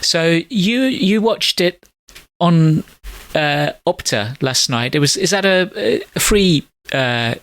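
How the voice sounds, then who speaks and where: A man's voice: a little high, lively intonation, takes it slowly, fairly bright tone, dry recording. A middle-aged man hosting a podcast over a call, chatting casually in a rambling, hesitant way with many fillers. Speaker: podcast host. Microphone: call audio.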